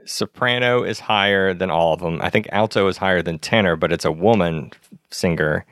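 Brief: male dungeon master, low voice, relaxed and measured, dry close mic. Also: dry recording. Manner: easygoing, measured, slows down when narrating. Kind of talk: casual chat and game narration.

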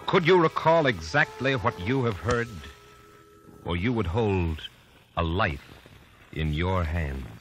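A man's voice: sits low, lively intonation, takes it slowly, long pauses, fairly bright tone, soft background music. A man giving formal, solemn scripted narration in the style of 1950s radio drama.

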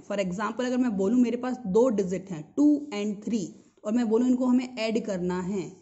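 A woman's voice: mid-range voice, warm tone. A woman with an Indian accent teaching a physics lesson, explaining didactically, repeating key terms and asking rhetorical questions. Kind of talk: explanatory school lesson mixing Hindi and English. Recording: dull lo-fi sound.

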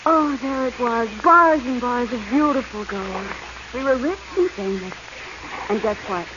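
High-voiced, dreamy adventuress, old radio. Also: female voice, expressive, measured pace. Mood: dreamy, eager. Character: attractive, dreamy adventuress hungry for treasure. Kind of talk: 1940s radio drama acting. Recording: muffled, noisy old radio recording.

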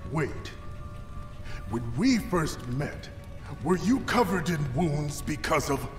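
A man's voice: a little high, big pitch swings, slow. A man playing an imperious, scheming villain commander in a video game, commanding and melodramatic. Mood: curt. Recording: echoey hall reverb. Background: dramatic music.

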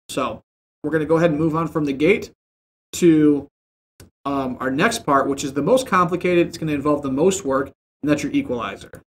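A young man in a home streaming room who explains audio settings in a relaxed, rambling way. He uses lots of fillers, and his desk mic sits about a foot and a half from him. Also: a little high, slight background noise. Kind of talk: casual screen-share tutorial. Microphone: desk mic about a foot and a half away, headset on.

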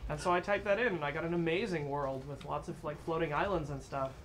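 A man's voice: a little high, steady tone, quick pace, fairly bright tone, slight background noise. A man co-hosting a charity stream, asking a guest playful questions in a joking way. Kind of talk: casual chat.

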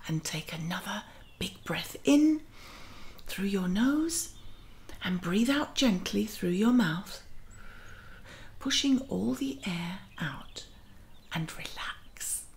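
A woman playing a gentle, kindly magical garden auntie who leads a children's guided meditation in a soothing, often near-whispered voice. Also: mid-range voice, expressive, slow, creaky, pauses often, slight background noise. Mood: soothing, hushed. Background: music.

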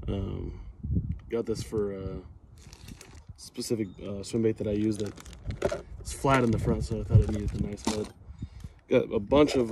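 A man, hosting a casual fishing vlog, talking in a relaxed, rambling way with many fillers, recorded outdoors on a phone held at arm's length. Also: on the low side. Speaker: fishing channel host. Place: outdoors in a driveway by a boat. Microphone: phone camera at arm's length. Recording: outdoor recording with noticeable noise.

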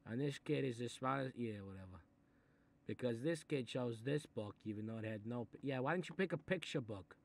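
Guy doing an italian-american mobster boss, grumpy and sarcastic, muttering threats while reading a kids book, comedy bit. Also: mid-range voice. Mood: irritated. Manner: gruff, threatening, muttering asides, exasperated. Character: short-tempered, sarcastic Italian mob boss babysitting a kid. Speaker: mob boss character reading a picture book aloud. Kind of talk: comic improvised character monologue.

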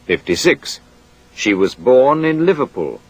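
A man reading a scripted language course drill in a clear, measured way. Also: medium pitch, sing-song.

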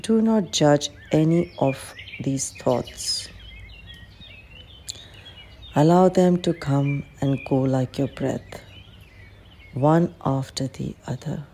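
A woman leading a guided meditation as an instructor, speaking in a calm, gentle, measured manner. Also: low voice. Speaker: clinical psychologist guiding a meditation. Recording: faint background noise with birdsong.

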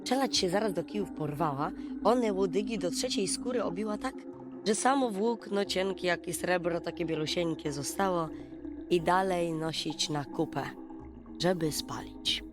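A woman storyteller reading a bedtime fairy tale in an expressive, dramatic, folksy way. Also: fairly low pitch, moderate pace. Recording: music behind the voice. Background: music.

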